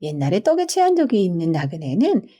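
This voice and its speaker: A woman narrating scripted bedtime folktales for children in an expressive storyteller's manner. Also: lowish voice, quick pace. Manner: storyteller's expressive, polite explaining delivery.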